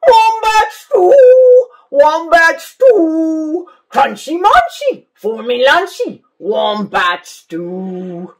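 A woman's voice: high voice, big pitch swings, extremely slow, hardly any pauses, pitched above the performer's own voice, exaggerated voice. A woman playing Dingo, a gleeful sing-song cook. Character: sly grinning male dingo cook, boastful.

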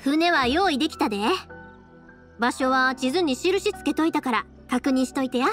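A woman playing a cheerful, caring, energetic young fireworks maker with a Kansai accent in an anime game. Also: high voice, moderate pace, soft background music. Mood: chatty, upbeat.

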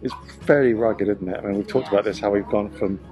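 Relaxed man chatting outdoors on a boat. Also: lowish voice, quick pace, faint music behind. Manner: relaxed, rambling, thinks aloud with restarts. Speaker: sailing vlogger. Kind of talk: casual chat. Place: outdoors on a boat and a rocky shore.

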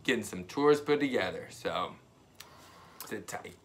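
A man's voice: fairly low pitch, expressive, unhurried, slight background noise. A man in his thirties co-hosting a read-aloud show in casual, playful chat. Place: small room indoors, on a couch.

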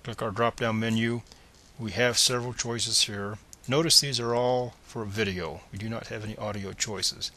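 A man's voice: lowish voice, fairly even intonation, normal speed, sharp tone. A man presenting a step-by-step screencast tutorial in a plain, methodical, instructional manner.

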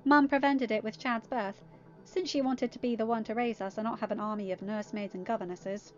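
A cheeky teenage princess in a story reading, voiced by a woman. She talks high, teasing and emphatic, quick to get indignant. The sound is a little dull. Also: steady tone, faint music behind, close to an everyday voice. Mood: chatty.

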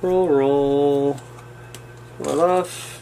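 A man's voice: a little high, fairly even intonation, drawn-out words, mellow, slight background noise. A man casually talking through a hands-on workshop project, muttering to himself as he works and making wry asides about his own mistakes. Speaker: hobbyist showing a workshop project. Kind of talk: casual how-to narration while working. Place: indoors at a wooden workbench or floor. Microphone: camera framed on his hands over the work.

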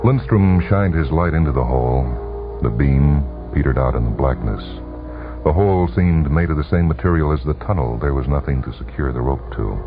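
Super low male narrator, slow-burn suspense radio story, thin old broadcast sound w music under it. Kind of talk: dramatic radio short-story reading. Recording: tinny, band-limited vintage radio broadcast.

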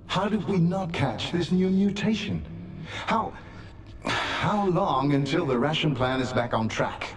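A man's voice: on the high side, unhurried, a little hiss or hum, close to an everyday voice. An actor playing a bitter, domineering middle-aged colony leader in a video game, impassioned and oratorical as he presses his point. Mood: exasperated. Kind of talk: dramatic video game acting. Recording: music behind the voice.

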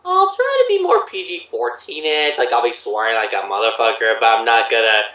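A man's voice: medium pitch, very animated, fairly quick, bright, forceful tone. A man, an amateur voice actor, chatting casually on an online voice call. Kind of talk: casual chat. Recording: roomy, echoey call audio.

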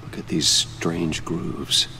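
An actor playing a serious, thoughtful investigator in a video game, pensive and measured. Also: male voice, deep voice, steady tone, gravelly, sharp tone, slight background noise, full character voice. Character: serious, thoughtful male investigator puzzling out clues. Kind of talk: video game cutscene acting.

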